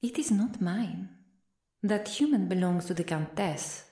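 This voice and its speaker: A woman's voice: fairly low pitch, measured pace, dark tone, reverberant. A woman playing a stern female vampire, cold, formal and disdainful, in an ASMR roleplay. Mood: curt, scornful. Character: stern, scornful female vampire, loyal servant of a countess. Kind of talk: ASMR roleplay audio drama.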